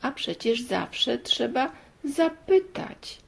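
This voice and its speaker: A woman's voice: on the high side, big pitch swings, slow, gravelly, slightly rough, pitched above the performer's own voice, heavily acted. A woman voicing a wise storybook mother who admonishes her sons in an instructive, questioning way. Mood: admonishing.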